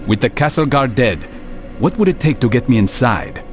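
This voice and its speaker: Man playing a calm, determined assassin over music. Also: medium pitch, normal speed, lightly acted. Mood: calm, purposeful. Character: calm, determined young assassin questioning allies. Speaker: video game actor. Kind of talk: scripted game cutscene dialogue.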